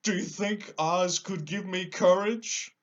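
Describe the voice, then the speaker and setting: A man's voice: high-pitched, fairly even intonation, slow pace, a bit bright, highs cut off, pitched above the performer's own voice. A man voicing a big cowardly lion in a storybook, sad, timid and self-pitying, with a plaintive, theatrical delivery. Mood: anxious, hopeful. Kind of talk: storybook character voice.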